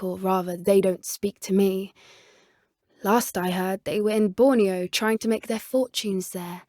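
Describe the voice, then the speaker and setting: A woman with a British accent voicing an earnest, gentle, soft-spoken teenage schoolgirl witch who loves magical creatures, for a video game. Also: mid-range voice, no echo, studio quality, close to an everyday voice.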